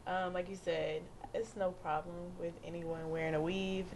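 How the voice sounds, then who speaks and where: Young woman around 20, kinda low voice, casual chat, slight noise behind. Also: level tone, moderate pace, darkish tone, rough recording. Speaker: panel guest. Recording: faint background noise, room ambience.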